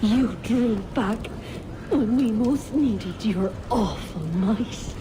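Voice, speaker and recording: A woman's voice: fairly high pitch, drawn-out words. A woman playing a vengeful druid priestess who hurls curses in archaic, declamatory lines in a dramatic video game scene. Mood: bitter fury. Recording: clear background noise, ambience.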